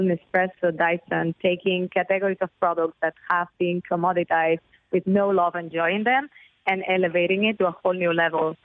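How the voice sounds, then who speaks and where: A woman's voice: lowish voice, no room sound. A woman with a Barcelona Spanish accent, a company founder giving an upbeat, fluent radio interview over the phone. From Spain. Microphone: phone line. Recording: tinny, dry phone line.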